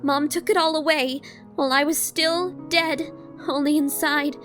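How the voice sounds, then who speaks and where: A very high, slow-paced young robot woman, acted by a woman speaks in halting fragments, anxious and guarded. It is dramatic comic-dub acting with faint music behind the bright voice. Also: steady tone. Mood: fearful. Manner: halting, clipped short phrases.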